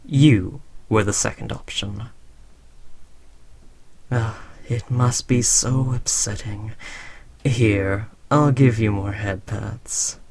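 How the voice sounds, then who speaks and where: Slow, imperious alien overlord played by a man. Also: lowish voice. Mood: taunting, soothing. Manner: unhurried, imperious, teasingly patronizing. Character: alien overlord who conquered Earth, smug, condescending, indulgent. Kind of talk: roleplay audio drama, addressing a servant listener.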